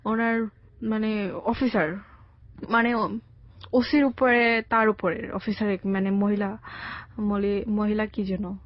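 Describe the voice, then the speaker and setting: A young woman with a Bangladeshi accent giving a halting, colloquial personal account as a radio interview guest. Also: on the high side, dry recording, dull audio. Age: young adult. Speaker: interview guest telling her life story. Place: radio studio.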